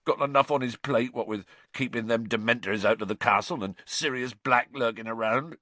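A man voicing a huge, soft-hearted, distraught gamekeeper in an audiobook, with choked, tearful, rustic and ungrammatical speech. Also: medium pitch, normal speed, no room sound, pitched above the performer's own voice. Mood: tearful, despairing.

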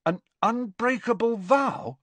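A man's voice: fairly low pitch, sing-song, normal speed, a bit bright, slightly rough, dry recording, full character voice. A man voicing a grumbling, blunt teenage boy, a defensive younger brother, in an audiobook story. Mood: stunned.

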